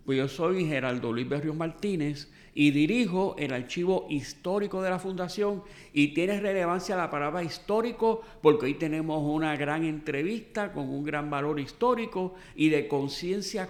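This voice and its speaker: Puerto rican guy doing formal interview intros, bit higher voice. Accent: Puerto Rican Spanish. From Puerto Rico. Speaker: interviewer, archive director. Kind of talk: formal oral history interview. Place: boardroom.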